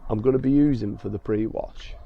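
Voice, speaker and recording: A man with a croaky, hoarse voice from being ill, hosting a car detailing vlog and explaining each step in a chatty way with many fillers. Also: on the low side, brisk. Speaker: car detailing channel host. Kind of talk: casual talk to camera with fillers. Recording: dull lo-fi sound.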